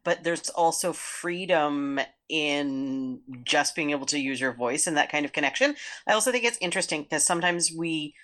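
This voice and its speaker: A woman speaking as an interview guest, talking in a thoughtful, measured way about her work. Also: deep voice, fairly bright tone. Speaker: guest, actor. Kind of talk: reflective interview conversation.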